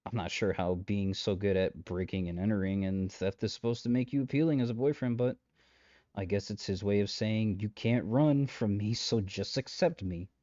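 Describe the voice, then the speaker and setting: A man's voice: fairly low pitch, normal speed, dark tone, dry recording, lo-fi sound, close to an everyday voice. A man playing an exasperated, chatty matchmaker in a comedic roleplay audio drama, talking straight to the listener with wry, rambling asides and dry understatement. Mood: wry, amused. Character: exasperated, chatty male matchmaker peddling possessive lovestruck suitors.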